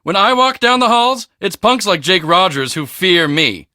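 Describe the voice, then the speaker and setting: A man voicing a cocky, boastful teenage high school football star in a video game, with a swaggering, emphatic, self-important delivery. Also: very animated, moderate pace, sharp tone, dry recording, studio quality, heavily acted. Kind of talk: video game character dialogue.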